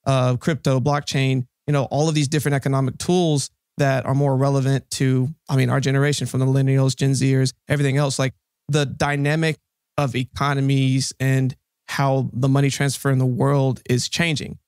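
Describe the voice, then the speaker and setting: A man's voice: mid-range voice, little pitch movement, normal speed, darkish tone, clear, resonant tone, dry recording, clean studio sound. A young man hosting a casual interview podcast in an upbeat way, with plenty of fillers. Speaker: podcast host. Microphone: studio mic on a boom arm.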